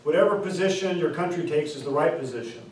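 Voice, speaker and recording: A man in his forties gives a classroom lecture, his voice a touch high for a man. He is picked up from across an echoey room by a distant camera, with a faint hiss behind him. He drives his points home with repeated examples and slow, stressed key terms. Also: darkish tone. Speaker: instructor. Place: classroom, indoors. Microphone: far camera.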